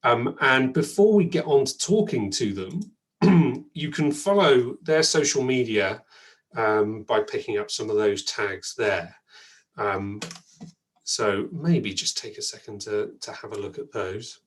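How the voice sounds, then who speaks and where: A man's voice: mid-range voice, measured pace. A man hosting an online event, chatty and thankful, with frequent ums and restarts. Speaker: event host.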